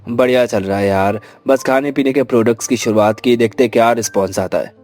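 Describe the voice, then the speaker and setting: A man's voice: medium pitch, brisk, a little mellow, faint music behind, close to an everyday voice. A man voicing a friendly, effusive young family friend who loves food, in a cartoon story. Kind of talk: Hindi moral cartoon story acting.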